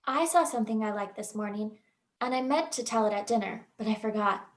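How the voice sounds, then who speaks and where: A woman's voice: mid-range voice, a little fast, close to an everyday voice. A woman playing a sweet, kind-hearted young sister in a radio play rehearsal, telling her story simply and earnestly. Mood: sweet, admiring.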